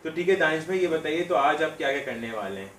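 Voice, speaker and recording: A man's voice: a little high, expressive, quick pace, a bit bright. A young man hosting a casual vlog, chatting to his viewers from a barber salon and interviewing the barber. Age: young adult. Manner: friendly, chatty, addresses viewers directly. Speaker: vlogger and host. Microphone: phone camera at arm's length.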